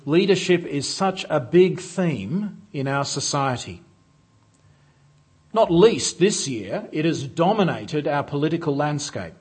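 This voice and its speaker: A man preaching a sermon in a church, measured and unhurried, with frequent "uh" fillers. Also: a little high, lively intonation, a little mellow, husky.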